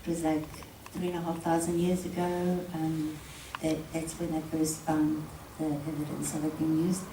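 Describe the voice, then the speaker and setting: A woman's voice: low-pitched, flat delivery, moderate pace, echoey room, recorded from a distance, background noise. A woman from South Africa speaking informally to a live audience, with many ums and frequent laughter. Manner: soft-spoken, many ums, laughs often. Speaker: guest speaker. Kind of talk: informal talk before an audience. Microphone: handheld mic.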